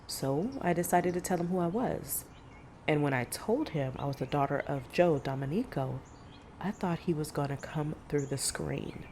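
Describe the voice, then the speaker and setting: A woman performs a middle-aged monster hunter in an audio drama. Her voice sits low as she recounts horrors in a grave, measured way. Faint noise runs under the recording. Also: a little mellow. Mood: reflective.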